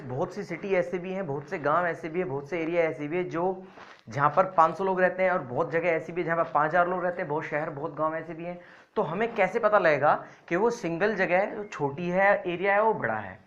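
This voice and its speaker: A male teacher giving a grammar lesson to learners. He talks slowly and deliberately, repeating each example sentence word by word. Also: high voice, a bit bright, lo-fi sound. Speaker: English teacher.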